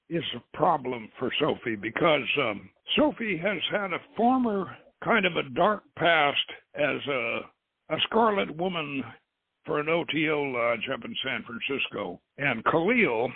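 Raspy man hosting over a tinny line. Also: medium pitch. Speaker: radio show host and author. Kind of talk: radio introduction, reading aloud with off-the-cuff asides. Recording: tinny phone-like line with echo.

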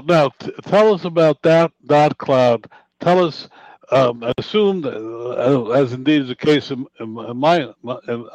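A male radio host interviewing a guest, talking with many fillers and halting restarts. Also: medium pitch, a little mellow, dry recording, low-quality recording.

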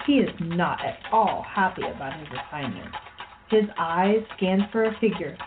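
A woman's voice: on the low side, expressive, normal speed, noisy background. Muffled woman narrating a radio play.

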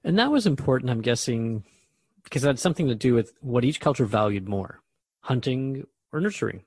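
A man's voice: mid-range voice, animated, a little fast, darkish tone, breathy edge. A man playing a curious radio host in a radio drama, asking thoughtful questions in a conversational, slightly hesitant way. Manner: inquisitive, conversational, hesitant asides. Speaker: radio host interviewing the Keeper.

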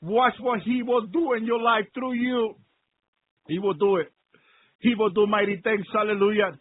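A man's voice: high voice, fairly even intonation, normal speed, narrow, tinny audio, slight background noise. A male preacher giving a fervent, off-the-cuff sermon that slips into prayer, repeating phrases and punctuating them with exclamations of praise.

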